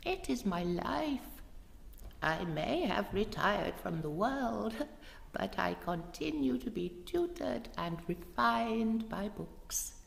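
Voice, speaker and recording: A woman playing a genteel, sly old lady book collector in a live radio play, creaky, measured and coyly knowing. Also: medium pitch, big pitch swings, exaggerated voice. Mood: genteel, guarded. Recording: noisy live recording in reverberant hall.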